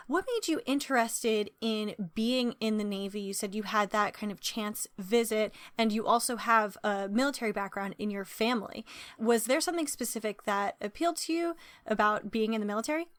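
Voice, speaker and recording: Bright-voiced female podcast host. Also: fairly high pitch, dry recording, studio quality. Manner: measured, prepared phrasing. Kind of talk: scripted intro and interview questions.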